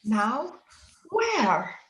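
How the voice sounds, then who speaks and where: A woman's voice: fairly high pitch, sing-song, drawn-out words, a bit bright, exaggerated voice. A woman playing a willful, romantic young girl in an old-time radio drama, earnest and coaxing. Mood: doubtful. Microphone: remote video call. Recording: roomy, muffled home video-call recording.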